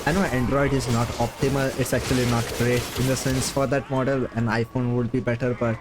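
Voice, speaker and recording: A man's voice: mid-range voice, flat delivery, brisk, background noise. A man, not a native English speaker, chatting casually while streaming a game, rambling with lots of fillers and little laughs. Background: game music.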